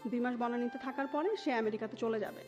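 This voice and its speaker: A woman's voice: fairly high pitch, fast talker. A woman with a Bangladeshi accent telling a personal story in an interview, mixing English words and phrases into her talk. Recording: muffled audio with music underneath. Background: music.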